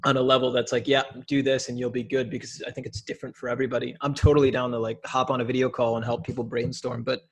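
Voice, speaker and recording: A young man from Minneapolis talking as a podcast guest, casual and animated, blunt, with slang. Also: mid-range voice, fast, hardly any pauses. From Minneapolis, USA. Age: young adult. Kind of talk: casual conversation.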